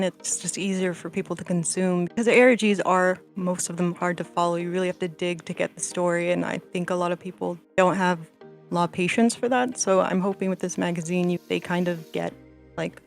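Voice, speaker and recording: Creaky, lowish-voiced woman chatting. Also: fairly even intonation, fairly quick, faint music behind. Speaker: guest. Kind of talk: casual chat.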